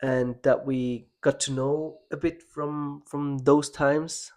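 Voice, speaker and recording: A man from Switzerland hosting a podcast, talking in a reflective, halting way with many restarts. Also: on the high side, level tone, a little mellow. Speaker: podcast host. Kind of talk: casual personal conversation.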